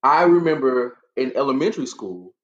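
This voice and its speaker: A man's voice: medium pitch, animated, moderate pace, fairly bright tone, a little hiss or hum. Reflective man on a dull recording. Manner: thoughtful, reflective, lots of fillers. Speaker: panelist. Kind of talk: casual discussion.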